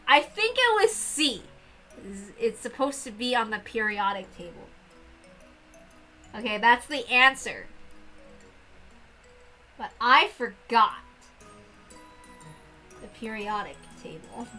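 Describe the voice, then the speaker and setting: A woman reads a story aloud and slips into casual, chatty commentary. Her voice sits fairly high, and music plays behind her. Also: sing-song, slow, pauses often, pushed and bright. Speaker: reader and player of a visual novel. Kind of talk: read-aloud with casual asides.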